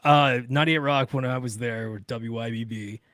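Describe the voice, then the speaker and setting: A man talking as a radio-industry guest, telling casual anecdotes in an animated, unguarded, salty-tongued way. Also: medium pitch, moderate pace, no echo, studio quality. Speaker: radio broadcaster, podcast guest.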